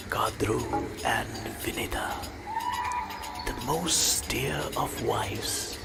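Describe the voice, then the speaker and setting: A man playing an ancient, serene god who narrates myths in a video game with a breathy, solemn, measured delivery. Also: mid-range voice, full character voice. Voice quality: breathy, whispery. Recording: loud music under the voice.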